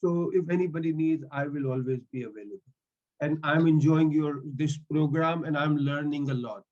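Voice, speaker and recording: Swahili-speaking guy in his 50s, earnest, fairly high voice, muffled video call audio. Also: normal speed. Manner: earnest, non-native English phrasing. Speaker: mental health link worker. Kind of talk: online meeting contribution.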